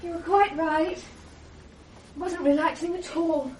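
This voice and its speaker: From across an echoey space, a breezy, weary wife in a radio drama. Her voice is high and emphatic. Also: measured pace. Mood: breezy, exasperated. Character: breezy, weary middle-aged wife arriving home. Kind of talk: naturalistic British radio drama acting. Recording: echoey, distant from mic, faint hiss.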